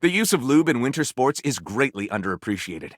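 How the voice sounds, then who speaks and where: A man voicing an excitable, pompous author of trashy novels in a video game, with a theatrical, sardonic manner. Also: mid-range voice, a little fast, dry recording, clean studio sound. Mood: grandly pronouncing. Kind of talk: video game character voice acting.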